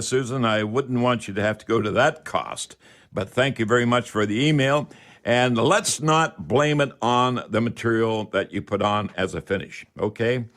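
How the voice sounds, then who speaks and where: A man's voice: medium pitch, fairly bright tone. A man hosting a live call-in radio show in a folksy, repetitive patter.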